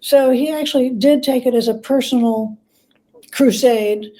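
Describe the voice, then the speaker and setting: A woman speaking as a guest scholar in an interview, explaining things conversationally in halting, thoughtful phrases with self-corrections. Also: sits high, dark tone, low-quality recording.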